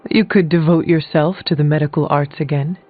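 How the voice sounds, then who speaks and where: Man acting earnest warrior hero, higher-pitched voice. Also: fairly even intonation, brisk, lightly acted. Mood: supportive. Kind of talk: video game character dialogue. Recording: phone-like band-limited sound under music. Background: music.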